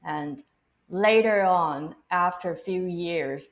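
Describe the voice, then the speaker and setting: A woman from Taiwan with a fairly low voice speaks English as a second language after Mandarin. Her accent is Taiwanese with an American influence, and she talks casually as a co-host on a live language stream. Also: takes it slowly, highs cut off. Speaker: language teacher co-hosting a livestream.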